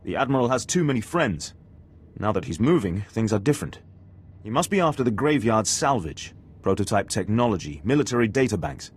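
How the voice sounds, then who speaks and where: Stern low-voiced male aristocrat commander. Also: sing-song, a little fast, breathy edge. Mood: grave, measured. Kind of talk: video game voice acting.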